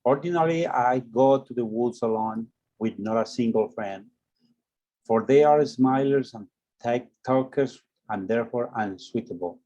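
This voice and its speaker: A man's voice: mid-range voice, moderate pace, dark tone, highs cut off. A man taking part in a class, reading aloud and speaking reflectively. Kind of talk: poem read aloud, brief reflective comments.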